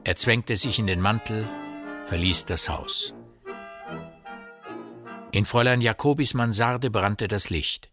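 A low-voiced grim literary narrator, forceful and precise. The recording is close and dry, with faint music behind the radio play storytelling. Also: male voice, slow, pauses often, husky, no echo, exaggerated voice. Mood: intense.

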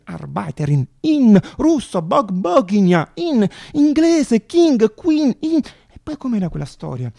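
A man playing an excitable, obsessive young student, muttering to himself and bursting into exclamations in a theatrical monologue. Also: high voice, gravelly, breathy edge, no room sound, clean studio sound, exaggerated voice.

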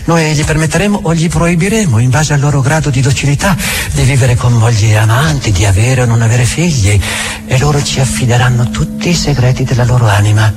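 A proud, bitter old inquisitor, played by a male stage actor, delivers a solemn theatrical monologue. He speaks deliberately and rhetorically, in a mid-pitched male voice, leaving weighty pauses. Music plays clearly behind him. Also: close to an everyday voice. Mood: grim condescension. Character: proud grim old inquisitor, bitterly addressing a silent returned Christ. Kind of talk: theatrical dramatic monologue recitation.

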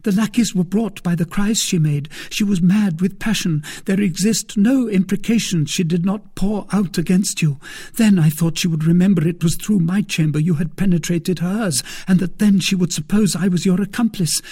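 A man's voice: high-pitched, normal speed, dark tone, husky, voice pushed up. A man voicing a frightened, devoted young maid with an emotional, pleading manner in an audiobook story. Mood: frightened, urgent. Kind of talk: dramatised audiobook reading.

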